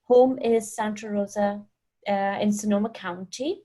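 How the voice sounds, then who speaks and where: Scottish lady, guest scientist on a webinar, calm clear explaining, mid pitch voice, video call from home. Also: measured pace. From Scotland.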